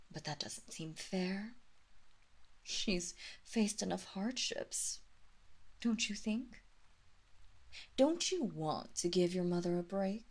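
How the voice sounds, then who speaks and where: A woman playing a seductive, commanding vampire mistress in an intimate roleplay audio drama, speaking softly and deliberately with a hypnotic, teasing condescension and breathy half-whispers. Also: on the low side, full character voice. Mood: gently manipulative.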